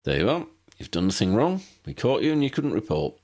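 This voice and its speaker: A man's voice: medium pitch, sing-song, a little fast, a little mellow, voice pushed up. A man voicing a bold, practical, cocky young man in an audiobook story.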